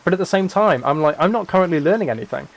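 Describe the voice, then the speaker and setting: A young British man chatting casually as he streams a game, rambling and thinking out loud as he goes. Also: high-pitched, lively intonation, very fast, creaky. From United Kingdom. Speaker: live streamer.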